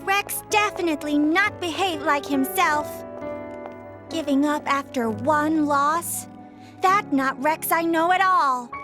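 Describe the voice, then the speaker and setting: A woman's voice: sits high, takes it slowly, music in the background. A woman voicing an earnest, scolding robot girl in a video game, speaking in simple broken English that drops small words.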